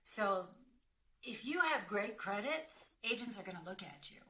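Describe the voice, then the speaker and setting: A woman with a bright, forceful voice of average pitch talks as an acting coach in a question-and-answer conversation. She is direct and emphatic, laying out her advice plainly. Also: expressive, a little slow, a little hiss or hum. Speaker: acting career coach and working actor.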